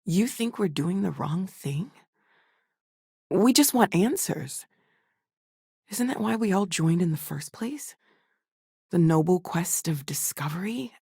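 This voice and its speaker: An actress playing a young, earnest and caring woman scientist and space explorer in a video game, speaking in a natural, conversational and expressive way with a wry edge. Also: on the low side, slow, vocal fry, long pauses, husky, no echo, clean studio sound, full character voice. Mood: calm, thoughtful.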